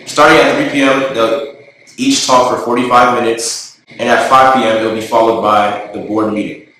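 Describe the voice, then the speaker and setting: A man's voice: mid-range voice, steady tone, moderate pace, bright, forceful tone, a little hiss or hum. Man speaking far off in an echoey room. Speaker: student body president. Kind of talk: read announcement. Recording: distant mic in a very echoey room.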